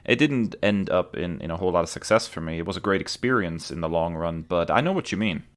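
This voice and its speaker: Deep-voiced male podcast interviewer. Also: quick pace. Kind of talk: casual interview.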